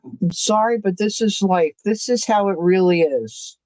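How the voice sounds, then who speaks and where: A woman's voice: mid-range voice, unhurried, a little mellow, no echo, poor audio. An older woman hosting a livestream, chatting casually and rambling through a story. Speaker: livestream host. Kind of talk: casual chat.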